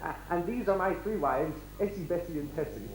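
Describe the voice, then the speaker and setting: A high-voiced, flustered pantomime king acted by a man, pompous and bossy with a roguish streak. He stammers through grand courtesies and blusters at his servant, on an echoey stage. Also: a little fast, noisy background. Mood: apologetic, fussy. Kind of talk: broad amateur pantomime acting. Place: hall stage. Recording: echoey stage recording of a live show.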